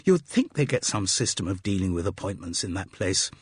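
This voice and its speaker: A man's voice: low voice, sing-song, fairly quick, husky, no room sound, clean studio sound, full character voice. A man voicing a prim, fussy older British woman who complains in a clipped way, in an audiobook. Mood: grumbling. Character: prim, sensible older woman, grumbling and reserved.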